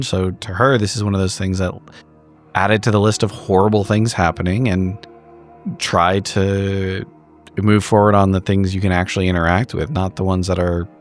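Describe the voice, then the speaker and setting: A man playing a tabletop game, describing his character's choices conversationally in a reflective, halting way. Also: sits low. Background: background music.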